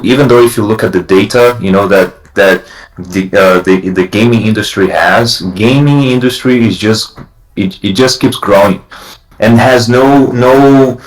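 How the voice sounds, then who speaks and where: Deep-voiced man, echoey room. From Brazil. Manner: halting, many restarts and "you know" fillers. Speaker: blockchain company CEO guest. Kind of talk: casual livestream chat.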